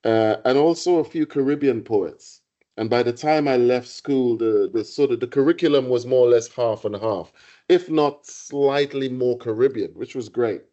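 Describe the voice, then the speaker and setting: Mellow man giving a lecture. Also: medium pitch, muffled recording. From Trinidad. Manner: reflective, halting with restarts and fillers. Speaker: guest lecturer, poet. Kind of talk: public lecture.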